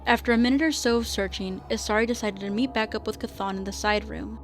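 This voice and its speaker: A woman's voice: a little high, steady tone, fast, faint music behind. A woman reading scripted narration as the narrator of a radio drama.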